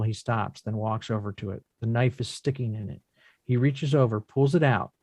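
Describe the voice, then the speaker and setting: A man narrating scripted screen action in measured, deliberate short phrases as the reader of stage directions. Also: mid-range voice, a little mellow, dry recording.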